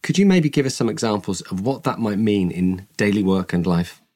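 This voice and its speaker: A man's voice: on the low side, expressive, normal speed, mellow. A man hosting a corporate podcast, reading his introductions and questions in a polished, scripted way. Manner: polished, read-from-script delivery. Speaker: podcast host.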